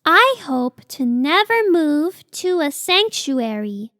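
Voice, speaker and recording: A woman's voice: high-pitched, big pitch swings, slow pace, a bit bright, no echo, clean studio sound, full character voice. A woman voicing a cute, earnest young female sloth baby in a children's picture book read-aloud, with simple, childlike phrasing. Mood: earnest, concerned.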